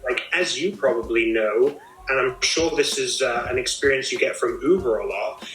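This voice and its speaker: A man's voice: medium pitch, moderate pace, a bit bright, roomy sound, low-quality recording. A man hosting a casual video chat, explaining his ideas at length in a thoughtful way. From Australia. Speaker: host.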